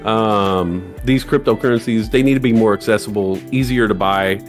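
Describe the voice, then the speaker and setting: Man in his forties, casual stream co-host, animated, jumps into put-on voices for quotes, faint music behind. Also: on the low side, moderate pace. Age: 40s. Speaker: livestream co-host. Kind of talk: casual chat.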